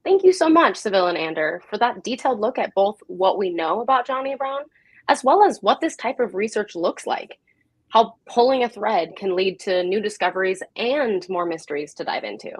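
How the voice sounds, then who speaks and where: A woman's voice: medium pitch, animated, moderate pace, slightly rough, no echo. Enthusiastic woman host, dry close sound. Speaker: host of an online history talk. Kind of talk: semi-scripted event hosting.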